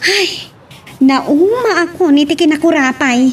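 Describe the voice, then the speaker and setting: Woman acting bitter high-voiced young Ilocano woman. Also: a little slow, a little hiss or hum. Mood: exasperated. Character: bitter young woman who refuses love, weary of poverty. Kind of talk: Ilocano audio drama acting.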